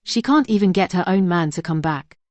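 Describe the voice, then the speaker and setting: A woman's voice: lowish voice, lively intonation, a little fast, darkish tone, no echo, natural voice. A woman acting dramatically in a radio drama. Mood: spiteful.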